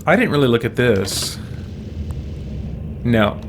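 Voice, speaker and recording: Male game streamer, casual unhurried commentary, thinking aloud, clear game sound in the background. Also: mid-range voice, very animated, slight background noise. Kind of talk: casual let's play commentary.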